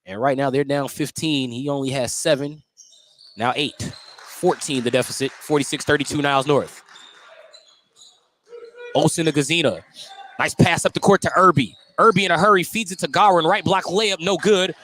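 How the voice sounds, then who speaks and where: A man's voice: fairly high pitch, moderate pace, fairly bright tone. A man doing live play-by-play basketball commentary on radio, calling each play in clipped, descriptive phrases. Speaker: play-by-play announcer. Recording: noisy live sports broadcast.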